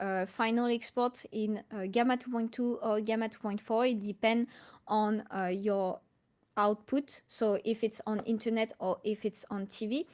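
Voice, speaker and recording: A woman from France with a French accent, answering questions casually on a live stream and haltingly thinking aloud as she goes. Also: a little high, a little mellow. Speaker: colorist hosting a live Q&A.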